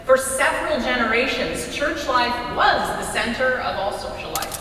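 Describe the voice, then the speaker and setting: A woman's voice: a little high, animated, normal speed, bright, forceful tone. A woman reading scripted narration as part of a readers theater on stage. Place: large reverberant hall, live performance. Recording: distant mic, echoey hall, faint noise.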